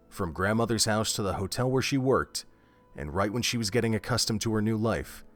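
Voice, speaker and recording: A man narrating a scripted story in a measured, steady way. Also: sits low. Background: faint music.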